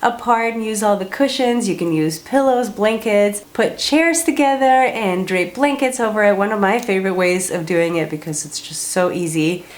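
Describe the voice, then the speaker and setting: A woman's voice: medium pitch, normal speed, few pauses. A woman from Colombia talking casually to camera as a parenting vlogger, upbeat and chatty, with plenty of filler words.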